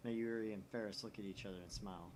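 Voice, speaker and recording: A man's voice: on the low side, fairly even intonation, quick pace, a little mellow, slight background noise. A young man hosting a gaming video, reading visual novel narration aloud with wry asides. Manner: wry, reads narration with commentary. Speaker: let's-play host.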